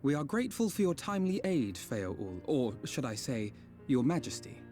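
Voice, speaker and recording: A man's voice: medium pitch, sing-song, moderate pace, a little mellow, natural voice. An actor plays a courteous man from a fantasy game. His mid-range voice moves animatedly through formal, courtly phrases. Faint music plays behind. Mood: grateful. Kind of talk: scripted fantasy game acting.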